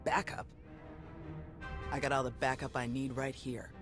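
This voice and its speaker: A blunt, low-voiced female Jedi warrior. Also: flat delivery, measured pace. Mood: brisk, wry. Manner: blunt, sardonic, clipped. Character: tough, brash female Jedi security chief, battle-hardened. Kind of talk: video game cutscene voice acting. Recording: music behind the voice.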